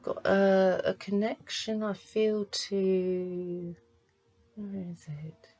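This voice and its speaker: A woman working as a psychic medium, giving a card reading to camera in a halting, searching way. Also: medium pitch, fairly even intonation, mellow. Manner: halting, searching, pauses to sense impressions.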